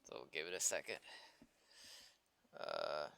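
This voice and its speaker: A man's voice: a bass voice, sing-song, measured pace, vocal fry, pushed and bright, slight background noise. An American man chatting casually on a livestream, thinking aloud and muttering to himself as he works, with informal speech and mild swearing. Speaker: game developer livestreaming.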